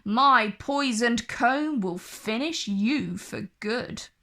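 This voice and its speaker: Evil queen for a children's storybook, female reader acting: vain, cruel, snappish, high-voiced. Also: animated, drawn-out words, pushed and bright, exaggerated voice. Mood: screeching, gloating. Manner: snarling, snapping, imperious.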